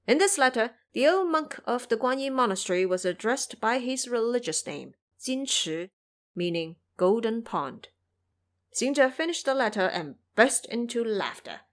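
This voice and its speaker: A woman's voice: a little high, very animated, unhurried. A woman narrating a scripted audio drama as a dramatic storyteller.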